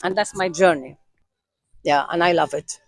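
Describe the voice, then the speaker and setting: A woman's voice: lowish voice, normal speed, vocal fry, no room sound, clean studio sound. A woman answering interview questions earnestly, repeating words as she searches for what to say.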